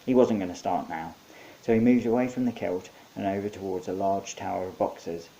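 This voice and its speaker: A man's voice: low voice, steady tone, a little fast, dark tone, rough recording. A man narrating his own short story in a wry, conversational storytelling manner. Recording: faint background hiss.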